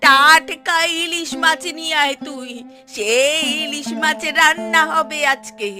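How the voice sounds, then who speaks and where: A woman voicing a melodramatic, scolding old mother in a cartoon, guilt-tripping in drawn-out complaints. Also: sits high, music in the background. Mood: angry demanding. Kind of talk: Bengali cartoon voice acting.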